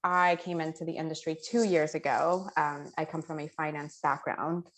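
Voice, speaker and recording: Businesslike woman from ecuador, grew up in nyc, lowish voice. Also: steady tone, moderate pace, low-quality recording. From Ecuador, raised in New York City. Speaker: guest, PR consultant. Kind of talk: casual video-call chat.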